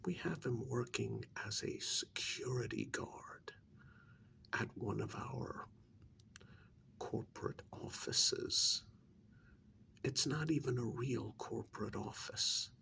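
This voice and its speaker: A man playing a stern, disappointed senior agent of a secret government agency in a soft-spoken ASMR role-play, breathy and gravelly, with a deliberate, formal, condescending manner. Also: medium pitch, full character voice. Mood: grave, explanatory. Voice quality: breathy, half-whispered, gravelly.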